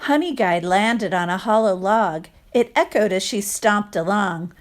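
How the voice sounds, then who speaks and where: A woman reading a picture book aloud to children, expressive, with chatty asides and questions to listeners. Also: medium pitch, measured pace. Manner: expressive, acts out sound words, asks listeners questions. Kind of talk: animated read-aloud storytelling with chatty asides.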